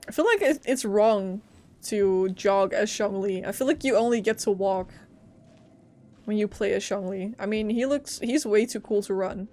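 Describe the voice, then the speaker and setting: A woman's voice: mid-range voice, normal speed, dark tone. A woman streaming a video game, giving casual reactions and teasing asides to the characters. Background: game music.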